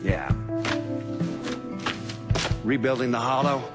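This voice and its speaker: A knowing storyteller in a crime game. His voice is mid-range for a man, measured and wry, delivered as a dramatic monologue over very prominent guitar music. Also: medium pitch, expressive. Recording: very loud guitar music underneath. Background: loud guitar music.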